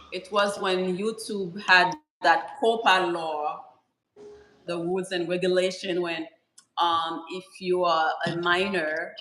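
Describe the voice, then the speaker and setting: A woman's voice: fairly low pitch, bright, forceful tone. A woman from Haiti, a guest YouTuber, chatting casually in a rambling way full of fillers over a video call. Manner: rambling, full of "like" and "you know". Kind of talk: casual live-stream chat. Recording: echoey room with faint hiss.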